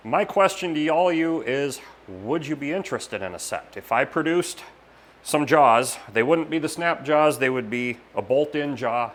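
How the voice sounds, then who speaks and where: A man giving a casual update to camera as a machine shop host, plain-spoken and halting with pauses. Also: mid-range voice, animated. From United States.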